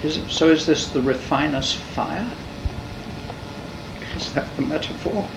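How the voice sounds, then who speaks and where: A man's voice: on the high side, a little slow, creaky. A man interviewing, asking probing questions and offering metaphors. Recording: roomy, distant, noisy mic.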